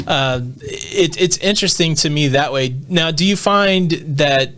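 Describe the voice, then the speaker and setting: A man's voice: a little high, unhurried, fairly bright tone, slight background noise. A man hosting a podcast interview who asks long, rambling questions. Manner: thoughtful, rambling questions with fillers. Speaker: podcast host. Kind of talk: conversational interview.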